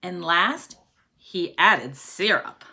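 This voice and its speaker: A woman's voice: lowish voice, lively intonation, very slow, vocal fry, sharp tone. A woman reading a story aloud to young children, expressively, often prompting them with questions and counting along. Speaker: teacher reading a picture book aloud to children.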